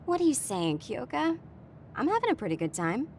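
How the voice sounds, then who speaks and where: A woman voicing a cool, nonchalant young woman in an anime-style game, with a wry, deadpan manner. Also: high voice, normal speed, a little hiss or hum. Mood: dry, unbothered. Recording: faint music behind the voice.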